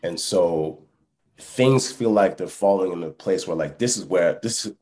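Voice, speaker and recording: A deep-voiced man you'd hear as the musician guest on a relaxed podcast, feeling his way through an answer and checking you follow. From born in France, lived in Canada and Texas, Congolese family. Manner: reflective, halting, often checks the listener follows. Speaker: hip hop musician, interview guest. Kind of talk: casual podcast interview.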